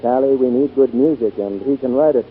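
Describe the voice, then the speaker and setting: A man's voice: mid-range voice, fairly quick, natural voice. Man playing a gallant producer, tinny radio drama. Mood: genial. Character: genial, smitten male theatrical producer, gallant and forgiving. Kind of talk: 1940s radio acting. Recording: tinny 1940s radio broadcast.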